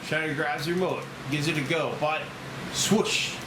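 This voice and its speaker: A man's voice: a little high, fairly even intonation, fairly quick, background noise. A man reading screenplay stage directions aloud as narrator at a table read. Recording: roomy echo with loud music.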